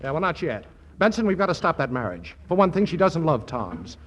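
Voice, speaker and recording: An excitable showbiz schemer from a 1940s radio comedy. His pitch is a bit high and leaps around. He stammers, connives and bosses everyone about. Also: brisk. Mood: scheming. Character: scheming, flustered fast-talking Hollywood schemer boss.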